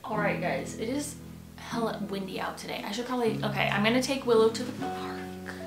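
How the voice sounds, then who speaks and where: A woman's voice: on the high side, brisk. A woman in her twenties with an American accent, chatting casually to camera in a rambling, informal way as she vlogs. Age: 20s. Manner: rambling, informal, self-interrupting. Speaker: lifestyle vlogger. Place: rooms in a home, indoors. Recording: echoey bare room, music underneath. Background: background music.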